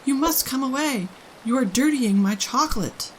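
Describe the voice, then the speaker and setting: Woman acting agitated, high-voiced chocolate maker man. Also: expressive, moderate pace, slight background noise. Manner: pleading, exclamatory, precise. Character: fussy, agitated eccentric male chocolate maker. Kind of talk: storybook character voice.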